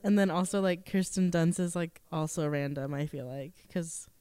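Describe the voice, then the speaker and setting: A woman's voice: fairly low pitch, normal speed, warm tone, clean tone, dry recording. A woman co-hosting a podcast at home, sounding stuffy as she gets over a sore throat, chatting casually with giggles and teasing. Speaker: podcast co-host. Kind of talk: casual chat. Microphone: shared handheld mic.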